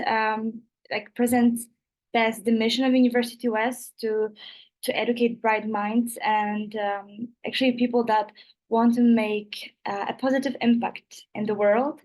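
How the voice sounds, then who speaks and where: A woman's voice: a little high, a little mellow, poor audio. A woman in her twenties with a Polish accent, giving an informal student presentation on an online call in non-native English. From Poland. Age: 20s. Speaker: student representative. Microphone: video call.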